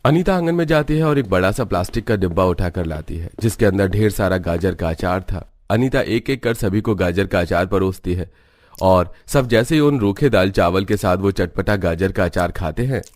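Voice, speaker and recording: Guy doing cartoon storytime narration, kinda deep, very dry sound. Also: moderate pace, darkish tone, no room sound.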